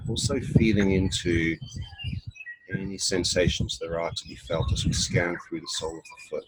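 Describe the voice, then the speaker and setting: Deep voiced guy doing a guided body scan, unhurried w pauses, bit of noise in the background. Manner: unhurried, instructional, with pauses between short phrases. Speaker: meditation guide.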